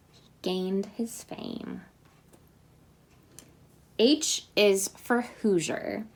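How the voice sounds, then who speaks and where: Slow, cheerful woman reading a picture book. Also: mid-range voice, darkish tone. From Indiana. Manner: slow, cheerful storytime reading with chatty asides. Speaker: teacher reading aloud.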